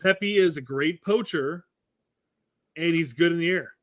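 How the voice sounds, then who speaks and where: A man's voice: fairly high pitch, measured pace, no echo, studio quality. A man co-hosting a casual soccer talk show, often stumbling and repeating words as he restarts. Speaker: co-host, former striker.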